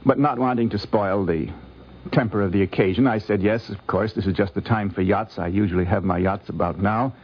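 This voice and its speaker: A man giving a conversational, anecdotal recollection in a measured, articulate, gently humorous way. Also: lowish voice. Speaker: interviewee recalling a visit. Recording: dull vintage 1950s radio recording.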